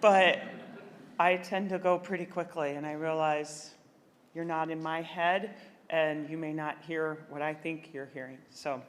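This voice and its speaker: A woman giving a conference keynote in a chatty, emphatic way, talking quickly. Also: low voice, fairly even intonation. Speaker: keynote speaker. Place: large conference hall. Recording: long hall reverb, faint ambience.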